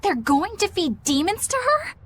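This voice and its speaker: A woman's voice: very high-pitched, big pitch swings, normal speed, a bit bright, slight background noise, heavily acted. A woman voicing a prim, earnest young female knight in a video game, proper and easily flustered. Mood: prim, pointed. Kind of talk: English video game voice acting.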